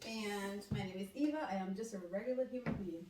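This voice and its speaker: A woman's voice: medium pitch, a little fast, background noise. Woman, from Kenya, podcast guest, chatty, echoey room, distant mic. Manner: chatty, laughs as she talks. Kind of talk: casual chat.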